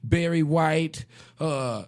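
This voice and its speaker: Raspy, joking male radio host. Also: fairly high pitch, very slow, a little mellow. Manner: joking, laughing often, slangy. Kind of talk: casual comedic radio banter.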